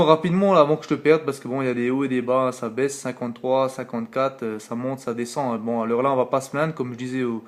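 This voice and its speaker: A man chatting casually as an amateur radio operator, talkative and informal, often trailing off into filler tags. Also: mid-range voice. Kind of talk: casual radio contact chat.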